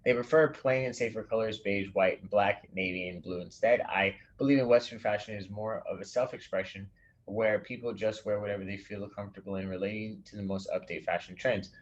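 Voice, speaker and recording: Relaxed man explaining a class project. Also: fairly low pitch, a little fast, muffled recording. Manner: relaxed, explanatory, reads aloud with restarts. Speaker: instructor. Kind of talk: recorded lecture.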